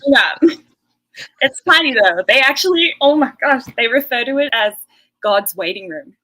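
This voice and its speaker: A woman's voice: sits high, expressive, normal speed, sharp tone, low-quality recording. An Australian woman in her thirties, a guest chatting casually and laughing often. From Western Australia. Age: 30s. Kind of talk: casual interview chat.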